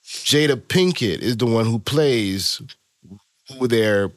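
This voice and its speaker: A young Haitian American man co-hosting a casual podcast chat, telling movie stories and putting on high mocking voices for quotes. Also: slow pace, no echo, clean studio sound. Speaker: podcast co-host.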